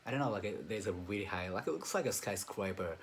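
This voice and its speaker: A man's voice: on the low side, lively intonation, quick pace, a little hiss or hum. A man, an English learner chatting hesitantly on a video call with many filler words. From Japan. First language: Japanese. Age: 30s. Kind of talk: casual online lesson chat.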